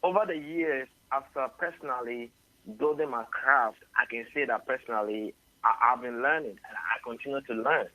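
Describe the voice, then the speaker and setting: A Ghanaian singer-songwriter answering radio interview questions at length, often adding "you know". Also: male voice, mid-range voice, moderate pace, pushed and bright, dry recording, low-quality recording. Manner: rambling, tags sentences with "you know". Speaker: singer-songwriter and label head.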